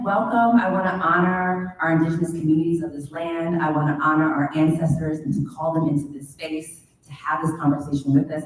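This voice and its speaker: A woman moderating a panel discussion, warm, affirming and energetic as she welcomes everyone. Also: sits low. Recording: echoey room from far off.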